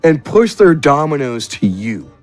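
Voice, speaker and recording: A man's voice: a little high, sing-song, a little slow, faint music behind. A man running a tabletop roleplaying game as game master, improvising descriptive narration phrase by phrase. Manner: descriptive, piecing scenes together phrase by phrase.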